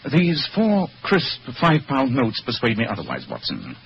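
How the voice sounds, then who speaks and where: Theatrical English gentleman detective, highly animated. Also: normal speed, creaky. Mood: wry, calculating. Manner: precise, theatrical, dryly superior. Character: brilliant, bored, sardonic English gentleman detective. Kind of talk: old-time radio drama acting. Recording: dull, noisy old radio recording.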